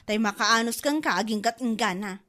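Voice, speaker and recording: A woman's voice: fairly high pitch, normal speed, a bit bright, natural voice. A woman playing a long-suffering, fed-up wife in a comedy drama, with an Ilocano accent. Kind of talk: comedy drama podcast acting.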